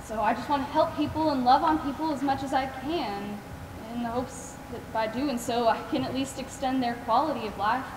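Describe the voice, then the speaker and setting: Young actress as a high-voiced, kindly Southern woman. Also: normal speed, natural voice. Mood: warm, reflective. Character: kind, devout Southern woman caring for the dying. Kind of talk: high school stage play acting. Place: large theater stage, recorded by far camera. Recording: echoey theater stage from far off, noisy.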